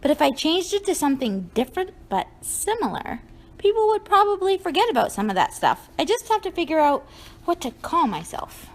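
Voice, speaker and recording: Puppet show role: woman as a self-conscious young girl, high-voiced, chatty, anxious, swinging up and down. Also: big pitch swings, brisk. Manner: fretful, chatty, musing aloud. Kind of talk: children's puppet show dialogue.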